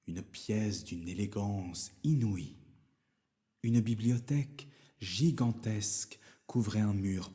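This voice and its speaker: Guy reading a fairy tale, slow n deep, expressive storyteller vibe. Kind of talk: scripted fairy tale narration.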